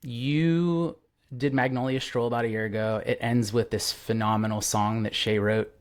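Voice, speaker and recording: A young man hosts a podcast in an easy, rambling way, full of "um" and "like". He sounds openly enthusiastic. His voice sits at a middle pitch for a man. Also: fairly even intonation, normal speed, clear, resonant tone. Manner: enthusiastic, rambling with many fillers. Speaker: podcast host. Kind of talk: casual interview chat.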